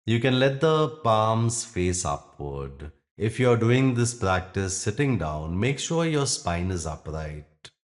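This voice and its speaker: Very slow, low-voiced male meditation guide. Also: sing-song. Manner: gentle instructing in short phrases with long pauses. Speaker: yoga nidra teacher.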